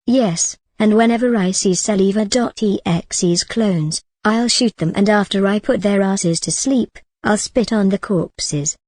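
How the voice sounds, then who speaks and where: A defiant teenage cartoon heroine voiced by a woman, mellow and soft-edged in tone. She states things plainly in an amateur audio drama, recorded very dry. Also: mid-range voice, measured pace, hardly any pauses, dry recording, lightly acted. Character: defiant teenage girl cartoon cat-girl heroine, foul-mouthed.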